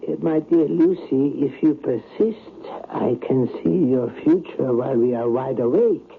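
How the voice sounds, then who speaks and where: Creaky-voiced, patronizing male doctor. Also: mid-range voice. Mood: patronizing, placating. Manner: measured, condescending, placating. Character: patronizing male psychoanalyst, soothing but coolly dismissive. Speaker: actor in radio drama. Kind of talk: old-time radio drama acting. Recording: tinny old radio, faint noise.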